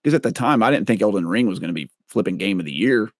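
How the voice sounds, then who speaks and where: A middle-aged man speaks in a low, clear, slightly boomy voice, rambling casually as an interview guest. He pokes fun at himself often. The sound is dry and close, recorded on a large studio microphone. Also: animated, fast, no room sound. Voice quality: deep, clear, a little boomy. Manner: rambling, self-deprecating, laughs at himself. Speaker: interview guest, content creator. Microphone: large-diaphragm studio microphone.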